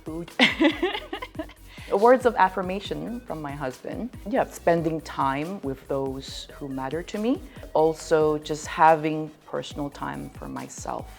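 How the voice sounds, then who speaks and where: A woman's voice: sits low, slow pace, gravelly, soft background music. A young woman from Thailand talking casually and expressively as a guest on a discussion show. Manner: expressive, animated, laughs often. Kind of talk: casual conversation.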